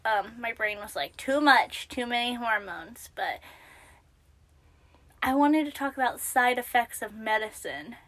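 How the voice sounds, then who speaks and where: A young woman co-hosting a podcast, chatting casually with a naturally high voice and a self-deprecating manner, sometimes dropping to a whisper. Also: animated, a little slow, fairly bright tone. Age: young adult. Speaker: podcast co-host. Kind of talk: casual chat.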